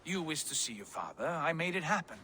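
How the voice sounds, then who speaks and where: A male Norse god, imperious and hearty, in game cutscene style. The voice is high for a man, bright and widely swooping, over faint ambience. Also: sing-song, fairly quick, a little hiss or hum. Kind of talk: dramatic game cutscene acting.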